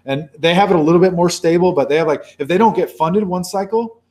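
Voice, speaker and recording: A man's voice: high voice, fast talker, a little mellow. A man in his forties, a sea turtle researcher from Baja California, Mexico, talking casually with many restarts and self-corrections. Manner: rambling, many restarts, conversational. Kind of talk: casual talk and Q&A.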